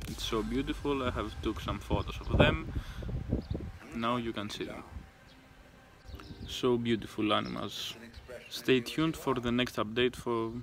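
A young man from Greece with a Greek accent, speaking casually and haltingly to a handheld phone camera outdoors. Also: mid-range voice, steady tone, gravelly, over music. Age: young adult. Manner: plain, halting narration with fillers. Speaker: vlogger. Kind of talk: casual travel vlog to camera. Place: outdoors in a village. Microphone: phone held at arm's length.

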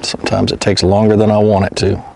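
A man's voice: lowish voice, completely flat delivery, fast, gravelly. A middle-aged man vlogging from a kayak on an open lake, explaining each step aloud and talking to the fish he handles. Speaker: fishing vlogger. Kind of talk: casual narration while working. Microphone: mounted action camera. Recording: outdoor wind and water noise.

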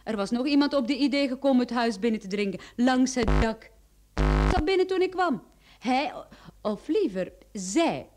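A bold female private detective in a radio drama. Her voice is high and very animated, and she teases with playful self-assurance. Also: moderate pace, a little hiss or hum. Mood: confident. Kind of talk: Dutch radio play acting.

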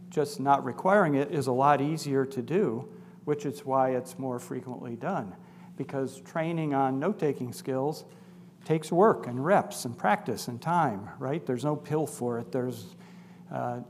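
A man in his sixties, a psychology professor, giving an animated public talk on stage with a lapel mic and asking the audience questions. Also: fairly high pitch. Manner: animated, gestures widely, asks the audience questions. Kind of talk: lecture to a live audience. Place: large auditorium stage. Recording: long hall reverb, faint room noise.

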